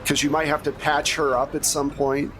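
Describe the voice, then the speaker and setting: Male game master over faint rumbling noise. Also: little pitch movement, normal speed, a bit bright. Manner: conversational, improvising scenes and prompting players. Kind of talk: casual tabletop game narration.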